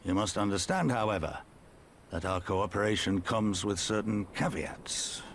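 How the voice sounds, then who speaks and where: An actor playing a blunt older resistance commander in a video game, weary and proud, with measured, folksy speech. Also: male voice, on the low side, a little hiss or hum, lightly acted. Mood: formal, solemn. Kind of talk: video game cutscene acting.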